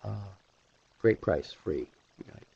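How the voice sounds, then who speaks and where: A middle-aged man giving an informal online presentation as an instructor, speaking haltingly with pauses mid-sentence through a headset mic. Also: fairly low pitch, animated, gravelly, dark tone, husky, no echo. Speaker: animation instructor hosting a webinar. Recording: dull, lo-fi webinar audio.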